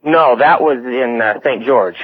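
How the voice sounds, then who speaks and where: A young American man phoning a radio show to tell a personal story casually, in a halting, hesitant way over a telephone line. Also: medium pitch, husky. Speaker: phone-in caller. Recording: tinny phone line on radio.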